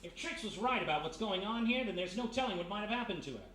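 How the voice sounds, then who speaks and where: A man's voice: high voice, lively intonation, fast, sharp tone. A man playing an anxious, hot-headed private detective in a live radio mystery play. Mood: agitated. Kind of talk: 1940s radio mystery acting, live on stage. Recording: echoey live room, faint noise.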